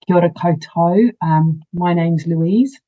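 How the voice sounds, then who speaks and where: A woman, a nurse giving a measured conference talk over a video call. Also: lowish voice, phone-quality sound. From New Zealand. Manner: measured, formal greeting in Māori. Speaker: nurse practitioner candidate. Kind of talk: conference presentation.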